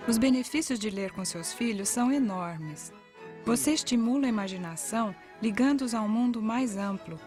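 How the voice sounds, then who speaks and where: A woman with a Brazilian accent narrates an educational video from a script. Her voice sits a little high, with music playing softly underneath.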